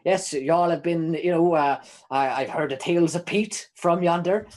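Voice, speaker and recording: A man's voice: fairly high pitch, normal speed, darkish tone, close to an everyday voice. A man voicing a chatty, cheeky imprisoned informant who rambles and stammers in old-timey folksy phrasing. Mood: rambling.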